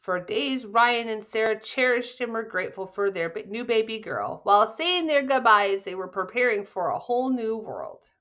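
A woman reads a children's story with a high, very animated voice. Her delivery is expressive and bright, caught in slightly dull webcam sound. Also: normal speed, few pauses. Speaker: storybook reader. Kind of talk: children's read-aloud, scripted narration.

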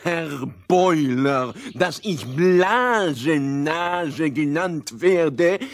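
Casting: stuffy, long-winded fairy-tale pedant, higher-pitched, deliberate, recorded dry. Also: male voice, expressive, dry recording, heavily acted. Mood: pompous, pedantic. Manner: pompous, stiltedly formal and wordy. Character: pompous, long-winded, touchy man with a prized nose. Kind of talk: children's fairy-tale audio play.

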